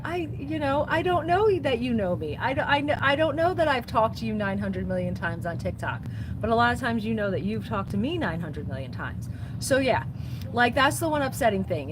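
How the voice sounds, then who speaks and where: Chatty upbeat woman over background noise. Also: sits high. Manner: chatty and upbeat, often saying "so yeah". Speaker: record store owner livestreaming. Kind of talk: casual livestream chat, reading viewer comments. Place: inside a record store. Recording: noisy background.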